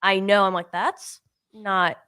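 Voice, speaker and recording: A woman's voice: medium pitch, fairly even intonation, takes it slowly, bright, forceful tone, clear, resonant tone. Meandering woman co-host. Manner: meandering, filler-heavy. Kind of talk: casual chat on a livestream.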